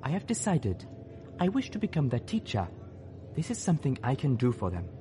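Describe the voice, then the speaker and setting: A man's voice: medium pitch, wildly swooping pitch, fairly quick, mellow, a little hiss or hum, pitched above the performer's own voice. A man voicing a solemn, resolute female zebra who phrases things formally and carefully. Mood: determined.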